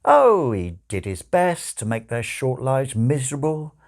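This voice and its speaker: A man narrating a comic novel as an audiobook, with wry, expressive storytelling. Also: mid-range voice, unhurried. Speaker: audiobook reader.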